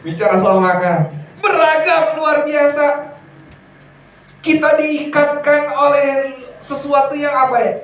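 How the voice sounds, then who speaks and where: A man preaching a sermon to a congregation, lively and emphatic, often putting questions to his listeners. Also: very high-pitched. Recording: echoey hall from far off, faint ambience.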